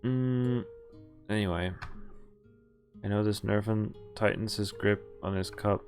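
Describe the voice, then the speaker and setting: A man's voice: fairly low pitch, slow pace, darkish tone. A young man reading a visual novel aloud on a live stream with casual asides, speaking into a headset. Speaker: game streamer. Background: game music.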